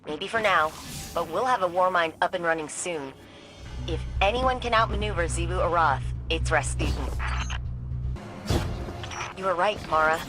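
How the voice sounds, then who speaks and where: A woman voicing a confident, wry tech expert in a video game, talking in a casual, quippy, brisk way. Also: fairly low pitch, lightly acted. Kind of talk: scripted game dialogue. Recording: loud music behind the voice.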